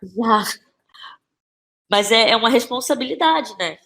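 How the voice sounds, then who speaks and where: A Brazilian woman in her thirties speaking as a guest in a conversational podcast interview, thinking aloud haltingly and repeating phrases. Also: fairly high pitch, animated, a bit bright. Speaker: interview guest, playwright and actress.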